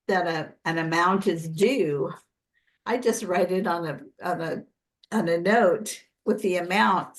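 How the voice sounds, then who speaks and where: An older American woman with a low-pitched voice leads an informal workshop. She explains in a conversational way, doubling back to correct herself as she goes. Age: 60s or older. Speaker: preparedness coach.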